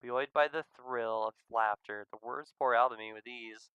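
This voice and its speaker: A man reading a visual novel aloud as expressive first-person story narration. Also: mid-range voice, normal speed, clean tone, no room sound, dull audio. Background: music.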